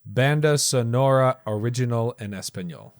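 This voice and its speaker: A man's voice: medium pitch, normal speed, no room sound. A man co-hosting a casual movie review podcast, curious and teasing in conversation. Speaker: podcast co-host. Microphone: podcast mic.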